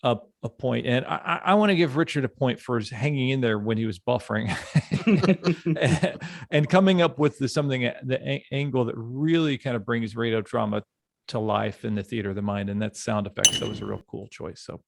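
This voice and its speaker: A man's voice: medium pitch, brisk, vocal fry, dry recording. Enthusiastic man hosting, close and dry. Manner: enthusiastic, effusive. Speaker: podcast host. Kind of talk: casual podcast chat.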